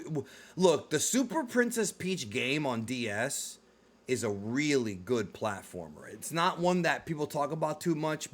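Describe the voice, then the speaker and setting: Man, slightly high-pitched, casual host commentary, enthusiastic, emphatic, direct address to viewers. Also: a bit bright. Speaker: host of a movie and video game discussion show.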